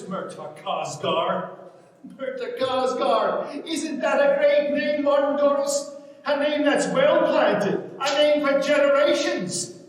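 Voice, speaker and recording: A man playing a proud, bitter country man, declaiming deliberately in a staged play reading. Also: extremely high pitch, exaggerated voice. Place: large reverberant hall at a public event. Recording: very echoey hall, far from microphone.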